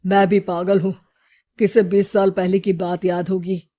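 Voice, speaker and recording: A woman voicing a self-assured adult male outlaw in a storybook tale, with deliberate delivery and slightly muffled sound. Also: medium pitch, level tone, close to an everyday voice. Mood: wistful. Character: swaggering adult male outlaw, bandit or notorious thief.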